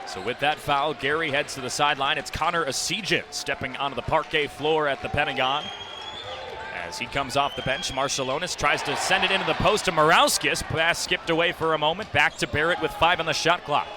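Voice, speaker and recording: Young male radio play-by-play announcer, fairly high-pitched, descriptive and excitable, calling basketball from a noisy arena. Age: young adult. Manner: rapid descriptive calls, rising to excited shouts on big plays. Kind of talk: live basketball play-by-play commentary. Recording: noisy arena broadcast with bouncing balls. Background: loud game noise, basketball bounces.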